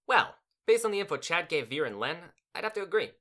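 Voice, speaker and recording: A performer playing a flippant, breezy companion with a lively delivery. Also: mid-range voice, quick pace, very noisy, close to an everyday voice. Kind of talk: fan audio drama acting.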